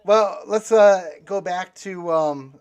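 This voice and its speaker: A man hosting a casual interview in a halting, conversational way with dry humour. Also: high-pitched, a little mellow, clean tone. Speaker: interview host.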